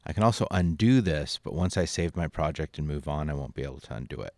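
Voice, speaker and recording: A man narrating a software tutorial as an instructor, informal and thinking aloud as he goes. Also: extremely low pitch, lively intonation, fast talker, vocal fry. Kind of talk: casual screen-recorded tutorial narration.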